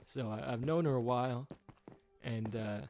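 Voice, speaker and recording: A man in his twenties rambling through a personal update, halting with many fillers and restarts, subdued by a rough time. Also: mid-range voice, a little mellow. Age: 20s. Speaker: YouTuber.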